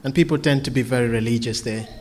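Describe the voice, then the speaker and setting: A man's voice: medium pitch, quick pace, darkish tone, a little hiss or hum. A man from Kenya, preaching earnestly and then answering questions, often repeating words as he restarts. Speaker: visiting preacher.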